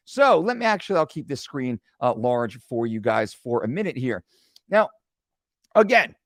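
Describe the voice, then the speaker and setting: Forties guy walking thru a calorie calculator on screen, bouncy highish voice, dry close mic. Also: dry recording. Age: 40s. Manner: animated, joking asides. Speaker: fitness coach. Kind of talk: screen-share tutorial.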